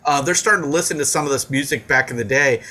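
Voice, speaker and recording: A man's voice: medium pitch, fast, bright, forceful tone. A man in his forties co-hosting a comedy podcast, rambling with lots of fillers and swearing. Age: 40s. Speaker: podcast co-host. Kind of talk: casual comedic chat.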